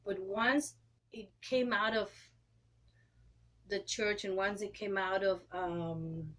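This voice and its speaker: A woman in her thirties from Costa Rica, speaking English with a Costa Rican Spanish accent, explaining things in an interview and clearing her throat often. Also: mid-range voice, fairly even intonation, slow, fairly bright tone, a little hiss or hum. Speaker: guest, opera singer and educator. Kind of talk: interview answers.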